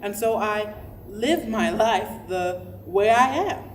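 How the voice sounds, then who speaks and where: Smiley, animated woman pastor played by an actress. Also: medium pitch, slow pace, slight background noise. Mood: candid. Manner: animated, restarts phrases, chuckles between thoughts. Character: optimistic, smiley woman pastor, unapologetically herself. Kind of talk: verbatim theatre monologue, staged reading.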